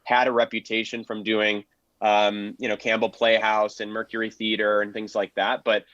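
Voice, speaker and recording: A man's voice: fairly low pitch, flat delivery, moderate pace, a bit bright, no room sound. A man speaking as a history panelist in an enthusiastic, explanatory way during a discussion. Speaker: panelist, film and radio historian. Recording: dull lo-fi audio, dry.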